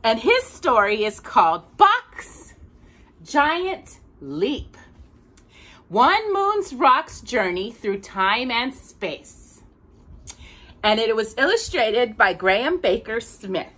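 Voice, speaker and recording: A middle-aged woman hosts a children's storytime with a lively, swooping delivery. Her voice is bright and forward, and she takes her time through each phrase, leaning on important words with clear expression. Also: fairly high pitch. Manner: expressive, deliberate, with emphatic word stresses. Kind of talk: animated read-aloud of a picture book to a child. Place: small indoor room with bookshelves.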